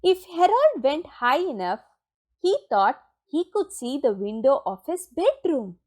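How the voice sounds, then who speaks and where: A woman reading a children's picture book aloud in an expressive, deliberate storytelling manner with dramatic pauses. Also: high-pitched. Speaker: storybook reader.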